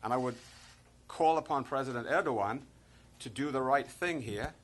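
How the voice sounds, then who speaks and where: A man speaking for a press freedom group, measured and hesitant, answering questions at a press conference. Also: medium pitch. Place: press briefing room.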